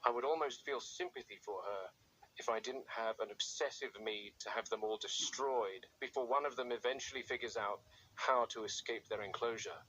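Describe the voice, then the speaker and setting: A man playing a grim, watchful game warden, narrating a found-footage field log in a measured, grave and deliberate way. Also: medium pitch, sharp tone, lo-fi sound, natural voice. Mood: grim, clinical. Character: grim, watchful male game warden logging dangerous captive raptors. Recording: faint background noise.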